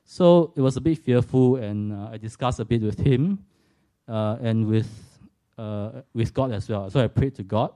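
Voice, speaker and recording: A man's voice: mid-range voice, unhurried, warm tone. A man in his forties from Singapore with a Singaporean accent, giving an earnest, reflective personal faith testimony to a church audience, with touches of self-deprecating humour.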